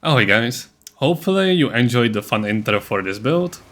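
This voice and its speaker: A man's voice: mid-range voice, expressive, moderate pace, fairly bright tone. Casual man explaining a game build. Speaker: gaming video creator.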